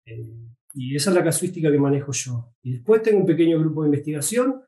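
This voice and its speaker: A man in his forties with an Argentine Spanish accent answers interview questions at a slightly raised pitch, in a dark, mellow voice. He talks hesitantly, repeating words and using many fillers. The room sounds echoey. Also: lively intonation, fast talker, far from the mic. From Uruguay, raised in Argentina. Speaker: guest veterinary pathologist.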